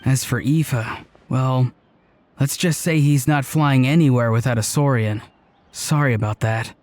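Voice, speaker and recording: A man's voice: medium pitch, a little slow, a little mellow, no echo, faint music behind, lightly acted. An actor playing an upbeat, loyal, playful young man with a breezy, earnest manner in a video game. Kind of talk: video game cutscene voice acting.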